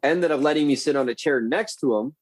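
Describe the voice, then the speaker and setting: A man telling wild stories as a podcast guest, with a profane, rambling, animated manner. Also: fairly high pitch, fast, no echo. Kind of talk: casual storytelling chat.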